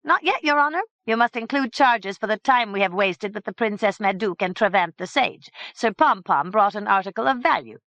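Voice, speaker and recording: A woman voicing a small, eager, fawning male servant in a storybook reading, quick and servile. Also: mid-range voice, lively intonation, fairly bright tone, no room sound, voice pushed up. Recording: dull dry lo-fi recording.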